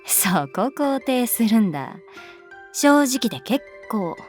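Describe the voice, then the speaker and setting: A woman's voice: a little high, very animated, slow, soft background music. A woman playing a laid-back adult schoolteacher in a Japanese voice drama, talking in a casual, nonchalant, teasing way. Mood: wry, teasing. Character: wry, laid-back adult female schoolteacher, quietly indulgent. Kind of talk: Japanese voice drama acting, natural dialogue.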